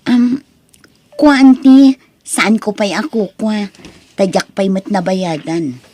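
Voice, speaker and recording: A woman with an Ilocano Filipino accent playing a gracious, forgiving young niece in an audio drama, speaking gently and earnestly. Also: medium pitch, slow. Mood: hesitant.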